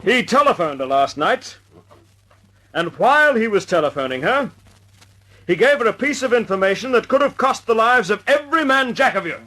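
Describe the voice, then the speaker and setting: An actor playing a stern young wing commander in a radio drama, clipped and commanding. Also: male voice, high voice, expressive, moderate pace, bright, forceful tone, exaggerated voice, rough recording. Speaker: squadron leader addressing aircrew.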